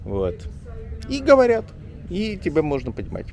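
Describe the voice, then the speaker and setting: A man talking casually as a journalist vlogger on a walk through an old town street, rambling and chatty, often pausing on filler words. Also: high-pitched, huge pitch swings, a little mellow, a little hiss or hum. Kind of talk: casual walking vlog chat.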